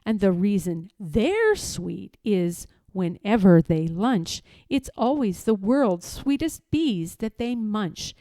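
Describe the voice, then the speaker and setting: A woman reading a children's story aloud in a theatrical, rhythmic way, as expressive storytelling. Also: medium pitch, no echo. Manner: theatrical, rhythmic rhyming delivery. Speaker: storybook reader.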